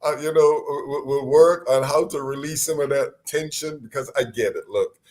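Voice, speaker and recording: A man's voice: fairly high pitch, moderate pace. A man from Barbados, a deputy court commissioner, speaking earnestly over a remote call, often restarting his sentences. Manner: earnest, animated, frequent restarts. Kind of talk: casual interview on a call. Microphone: remote call line.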